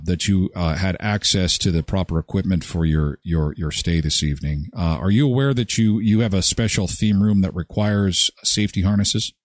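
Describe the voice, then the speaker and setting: A man's voice: deep voice, moderate pace, few pauses, dry recording, pitched below the performer's own voice. A man playing a polite, officious hotel clerk for a prank call, straight-faced, with hesitant phrasing. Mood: deadpan, polite.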